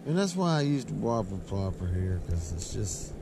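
A man's voice: very animated, moderate pace, creaky. A man hosting a casual fishing vlog outdoors, talking in an informal, slangy way. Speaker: fishing channel host. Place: outdoors by a river below a dam. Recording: noisy outdoor recording with ambient rumble.

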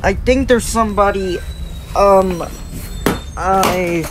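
An amateur performer voicing a friendly young tank engine for fan train shorts, with a halting delivery. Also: mid-range voice, noisy background. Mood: thoughtful. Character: Diego, friendly young tank engine, branch line worker.

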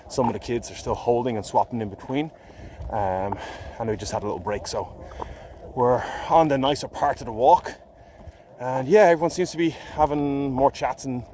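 A man's voice: medium pitch, slight background noise. A man in his thirties with an Irish accent, a youth coach filming a casual vlog on a misty mountain trail with a phone at arm's length, upbeat and encouraging. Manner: upbeat, cheering kids on loudly. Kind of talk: casual vlog on a group hike. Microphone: phone camera held at arm's length.

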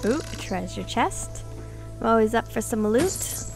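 Creaky-voiced woman doing casual game commentary. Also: fairly high pitch, lively intonation, a little slow. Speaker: gaming video host. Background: game music.

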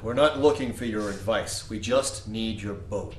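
A determined leader in a radio drama played by a man. His voice is fairly low and his manner serious and direct. There is faint noise behind him. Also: moderate pace, natural voice. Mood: urgent, firm. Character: earnest, determined male leader on a mission. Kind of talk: audio drama acting.

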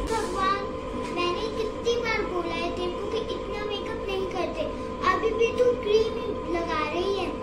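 Young girl playing a nagging, high-voiced mother. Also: measured pace, loud music behind the voice. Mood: scolding. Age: child, under twelve. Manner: nagging, exclaiming.

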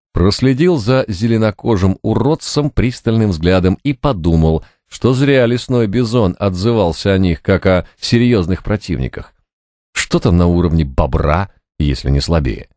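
Deep-voiced male audiobook narrator. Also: expressive, measured pace, darkish tone, dry recording, clean studio sound. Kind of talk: scripted fiction narration. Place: dry recording studio.

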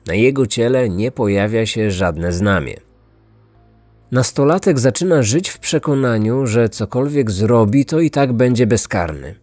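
A male podcast narrator tells a crime story in short, suspenseful phrases. The voice is medium in pitch and very dry, with music playing beneath it. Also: a little mellow, no echo. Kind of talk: scripted dramatic narration. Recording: dry studio voice over music. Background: background music.

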